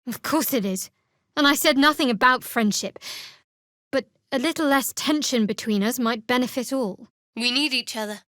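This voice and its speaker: A woman voicing a guarded, sardonic young cleric of a dark goddess in a fantasy video game, with a dry, measured, wry delivery. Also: a little high, no room sound.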